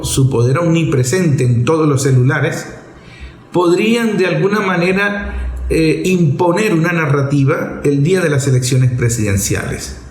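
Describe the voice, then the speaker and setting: A Venezuelan man delivers political commentary in a somewhat high-pitched voice. He explains his points like a teacher, with emphasis and rhetorical turns, speaking without a script. The room around him adds a clear echo. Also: darkish tone. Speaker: host of a political opinion programme. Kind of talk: political commentary, spoken off the cuff. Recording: roomy echo on the voice.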